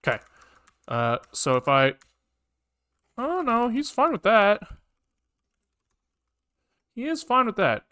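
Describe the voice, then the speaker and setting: Bright, clear-voiced man thinking aloud. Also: high voice, wildly swooping pitch. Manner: muttering to himself, halting, trailing off and questioning himself. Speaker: live-coding streamer, programmer. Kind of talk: casual thinking aloud while programming on a livestream.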